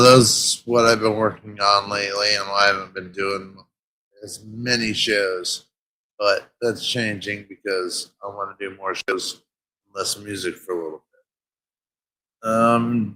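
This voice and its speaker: Male host, mellow stoner ramble, slow delivery, slightly low voice. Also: rough recording. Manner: laid-back, stoned, rambling with fillers. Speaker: show host. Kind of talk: casual rambling stream talk.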